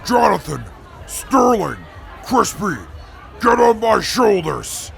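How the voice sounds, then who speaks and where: A man voicing a huge, boastful strongman with a boisterous, gravelly manner in an audio drama. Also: high voice, sing-song, slow, a faint crowd, heavily acted.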